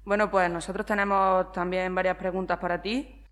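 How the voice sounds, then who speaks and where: Lowish-voiced woman asking questions. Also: little pitch movement, moderate pace, fairly bright tone. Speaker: interviewer. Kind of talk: prepared interview questions.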